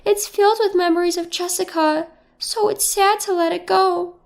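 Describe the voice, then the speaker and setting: Female narrator as elderly mother, high pitch, wistful, sentimental, sad, story narration. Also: steady tone, moderate pace, vocal fry. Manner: sighing, wistful.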